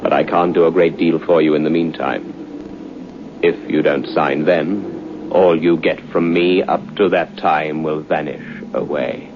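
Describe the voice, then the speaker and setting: A very low-voiced gentleman devil, formal and menacing. He speaks with measured command, as in an old radio drama. The recording is dull, with clear noise. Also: fairly even intonation. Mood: coldly persuasive. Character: suave, menacing gentleman devil recruiting souls.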